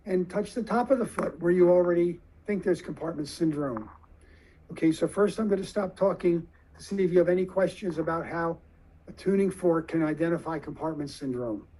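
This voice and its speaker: A man with a fairly high, husky voice teaches a room of surgeons. He explains slowly and deliberately, often stopping to put questions to his listeners. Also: mellow, low-quality recording. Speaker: surgeon lecturer.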